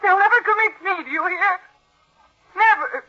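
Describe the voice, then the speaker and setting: A woman's voice: extremely high pitch, normal speed, soft background music. A woman playing a scheming, vain actress and murderous niece in 1940s radio drama, theatrical and grandly self-assured. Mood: indignant, desperate. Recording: muffled old radio recording.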